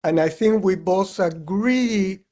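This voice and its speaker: Unhurried older man. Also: high voice, warm tone. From Cuba. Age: 70s or older. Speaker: podcast co-host. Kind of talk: conversational podcast discussion.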